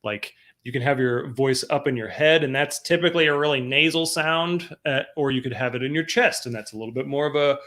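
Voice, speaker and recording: A man with a cold, his voice stuffy and sniffly, hosts a loose chat about roleplaying games. His tone is bright and forceful, and he explains his points with quick examples. The sound comes through a video call. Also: mid-range voice. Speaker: host. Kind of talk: casual discussion of roleplaying games.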